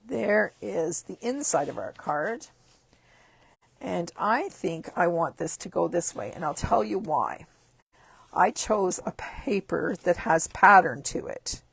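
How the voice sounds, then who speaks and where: Hoarse woman, croaky and gravelly, raspy tone, slow chatty craft demo. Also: fairly low pitch, animated, no echo, poor audio. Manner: chatty, upbeat step-by-step explaining. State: hoarse, losing her voice. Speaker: craft demonstrator. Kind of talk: card-making tutorial.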